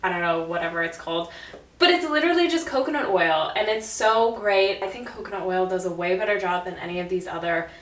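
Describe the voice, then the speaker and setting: A woman's voice: on the low side, lively intonation, a little fast, fairly bright tone. Young woman vlogger, stuffed up nose, chatty, kinda far from the mic in an echoey bathroom. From Canada. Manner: chatty and self-deprecating, laughs at herself. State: stuffed up and congested. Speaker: beauty vlogger. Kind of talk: casual chat to camera. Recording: roomy, slightly distant audio.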